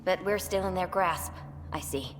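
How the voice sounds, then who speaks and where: A woman's voice: lowish voice, normal speed, close to an everyday voice. A woman voicing a proud, troubled female alien leader in a video game. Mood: bitter, troubled. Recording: reverberant hall with faint noise.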